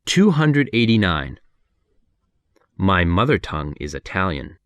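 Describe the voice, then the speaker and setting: American male reader for ESL drills, low voice, lively delivery, dry close mic. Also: no echo, dull audio. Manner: clear, measured reading. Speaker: English lesson reader. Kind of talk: numbered sentence reading for repetition practice.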